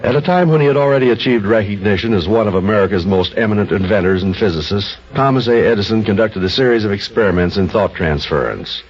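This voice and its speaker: A man's voice: lowish voice, fast talker, hardly any pauses, slightly rough. A man narrating scripted material as an old-time radio storyteller. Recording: dull old radio recording.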